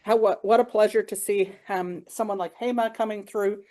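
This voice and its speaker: A woman's voice: mid-range voice, fairly even intonation, moderate pace, warm tone. A woman facilitating a conference session, speaking informally and appreciatively as she offers closing reflections. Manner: appreciative, encouraging. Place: conference hall, live talk.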